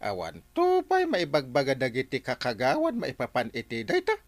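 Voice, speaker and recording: A man's voice: mid-range voice, huge pitch swings, moderate pace. A man with an Ilocano Filipino accent playing an earnest, peacemaking village captain in a radio comedy-drama, exhorting expressively. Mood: puzzled.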